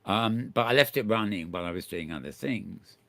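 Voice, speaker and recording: A man's voice: lowish voice, lively intonation, brisk, vocal fry, a bit bright. An older man hosting a casual chat show, rambling and restarting often as he tells a story. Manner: chatty, rambling, many restarts and stammers. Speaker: host.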